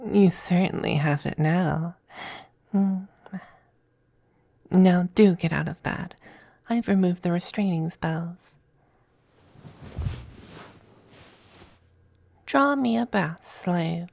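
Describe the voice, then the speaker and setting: A woman playing a possessive demon femboy in a close, intimate ASMR roleplay. The delivery is unhurried and coy, with a creaky edge and a very dry, close sound. The demon teases smugly yet affectionately, often slipping toward a breathy whisper. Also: mid-range voice, darkish tone, dry recording. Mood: commanding, languid.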